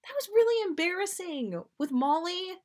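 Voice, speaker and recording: A woman's voice: very high-pitched, animated, moderate pace, darkish tone, voice pushed up. A woman voicing a bashful, easily embarrassed man with a flustered, huffy manner in a fan fiction reading. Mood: indignant. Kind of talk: fan fiction read aloud.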